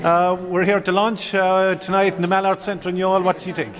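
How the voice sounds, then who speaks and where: An Irish man conducts an informal interview at a busy event. His voice sits a little high for a man, and he stumbles and repeats the starts of words. Crowd chatter is clearly heard behind him. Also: flat delivery, brisk. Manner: stammering, repeats word starts. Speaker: radio interviewer. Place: busy arts centre at a launch event.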